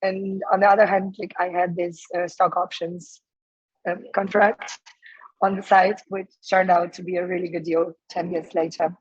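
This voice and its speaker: A woman in her thirties from Ukraine, hoarse and losing her voice, talking casually as a guest with many fillers and restarts. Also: fairly low pitch, flat delivery, normal speed, no echo, muffled recording. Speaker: guest, startup co-founder. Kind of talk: casual interview answers.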